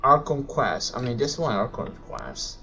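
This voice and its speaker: A male livestreamer speaks at a middling pitch, muttering and exclaiming as he plays. He repeats himself excitedly in a casual way. The microphone sounds dull and lo-fi, with faint music behind him. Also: unhurried, gravelly. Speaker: live streamer playing a video game. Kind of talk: casual game livestream reaction. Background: faint game music.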